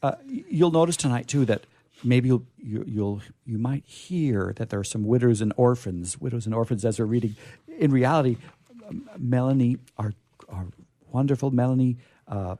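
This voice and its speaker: A man with a mellow, relaxed voice hosts a readings show. He talks off the cuff in a halting, rambling way, dropping in jokey asides. The recording is dry, with hardly any room sound. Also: mid-range voice, no echo. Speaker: host and reader of a radio players' show. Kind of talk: chatty, off-the-cuff introduction.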